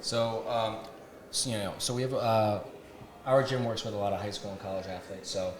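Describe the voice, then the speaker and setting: A man co-hosting an interview, asking questions in quick, chatty bursts with lots of "yeah" and "you know". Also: fairly low pitch, fairly even intonation, roomy sound, a crowd in the background. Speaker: co-host. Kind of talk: casual interview chat.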